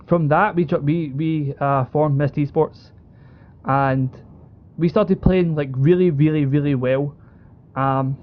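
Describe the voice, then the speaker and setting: Young British male, slightly high voice, casual storyteller with enthusiastic informal phrasing, dull lo-fi call audio. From United Kingdom. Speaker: esports organisation co-owner. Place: online group call.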